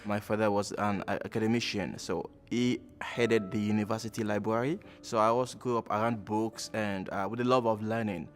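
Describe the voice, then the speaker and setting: Nigerian man, 30s, lowish voice, thoughtful interview subject with pauses, faint music behind. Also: fairly even intonation. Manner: reflective, with frequent "uh" pauses. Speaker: alumnus interviewee. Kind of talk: documentary interview.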